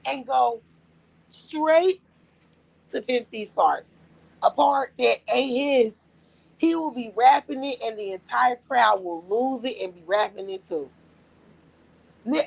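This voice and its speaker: Husky, gravelly woman ranting about rap. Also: high voice, rough recording. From Las Vegas area. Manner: blunt, profane, emphatic storytelling. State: losing her voice. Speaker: podcast co-host. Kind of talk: casual chat.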